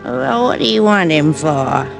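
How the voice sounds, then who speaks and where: Meek old woman selling flowers, slow speech, old-time radio drama, music behind. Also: fairly low pitch, very animated, creaky, full character voice. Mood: polite, wary. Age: older. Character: old female street flower seller, meek and shocked. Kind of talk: 1940s-50s radio acting. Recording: vintage radio drama recording.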